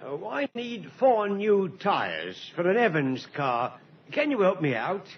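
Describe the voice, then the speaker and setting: A man's voice: sits high, huge pitch swings, slow, a little hiss or hum, heavily acted. An actor, a man, playing an eager, deferential, plain-spoken police sergeant in a 1950s radio drama. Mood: chummy. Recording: muffled vintage radio recording.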